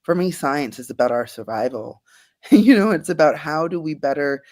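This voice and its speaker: A woman, a guest scientist, answering interview questions earnestly and thoughtfully, with frequent soft laughs. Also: deep voice, lively intonation, moderate pace. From Bahamas.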